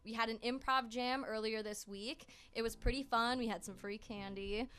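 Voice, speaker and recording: A woman's voice: a little high, steady tone, moderate pace, fairly bright tone. A young woman, a student guest, chatting informally and upbeat in a radio interview. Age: young adult. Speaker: studio guest, student club member. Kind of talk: casual interview chat. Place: small radio studio. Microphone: studio microphone.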